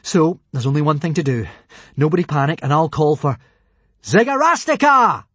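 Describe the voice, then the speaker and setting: A man acts out a young storybook Viking hero, thoughtful and wry. The voice is a little raised and breathy, level-headed, recorded dry and close. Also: a little high, brisk, darkish tone, no room sound, pitched above the performer's own voice. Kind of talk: audiobook character acting.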